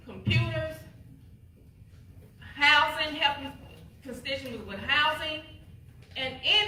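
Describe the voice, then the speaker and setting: Casting: woman, 60s, from Dallas, council candidate, emphatic and repetitive, bright higher voice, distant echoey hall mic, light hiss. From Dallas, Texas. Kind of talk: campaign opening statement at a public forum. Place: community hall.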